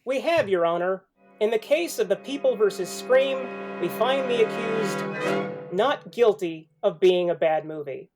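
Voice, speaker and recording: A man's voice: high voice, animated, a little slow. A man speaks casually as the foreman on a comic mock-trial panel, with music faintly behind him.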